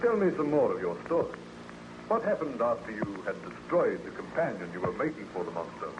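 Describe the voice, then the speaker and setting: A man playing a steady, protective ship's captain in an old-time radio drama, formal, earnest and reassuring. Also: lowish voice, brisk. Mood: curious. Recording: dull, noisy old radio recording.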